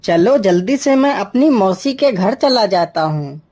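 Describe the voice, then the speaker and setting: A woman's voice: medium pitch, lively intonation, measured pace, warm tone, a little hiss or hum, close to an everyday voice. A woman voicing a timid village farmer man in a cartoon, with a fretful, wailing manner. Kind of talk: Hindi cartoon story dialogue.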